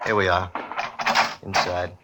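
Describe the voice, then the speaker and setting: A plain-spoken police lieutenant with a lowish voice and a terse, brisk manner. The old radio recording has clear noise. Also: male voice, little pitch movement. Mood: matter-of-fact. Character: matter-of-fact police lieutenant reporting to his captain. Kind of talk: 1940s radio drama acting. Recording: noisy old radio broadcast.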